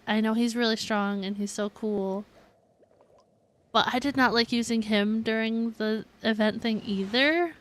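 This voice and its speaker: Unhurried woman game streamer chatting casually. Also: on the high side. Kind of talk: casual livestream chat with viewers.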